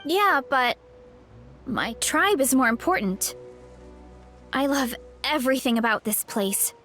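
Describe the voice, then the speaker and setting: A woman's voice: high voice, expressive, takes it slowly, fairly bright tone, faint music behind, full character voice. A woman playing an earnest, easygoing young village girl in a video game, plain-spoken and a little hesitant. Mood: earnest, unsure.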